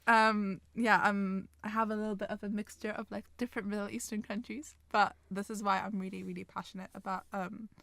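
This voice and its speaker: An earnest young woman from Palestine chats on a podcast, halting and leaning on fillers. Her voice sits at a middle pitch. The sound is dry and close, with no room around it. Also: flat delivery, creaky, a bit bright, no room sound. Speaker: podcast co-host. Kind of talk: casual chat.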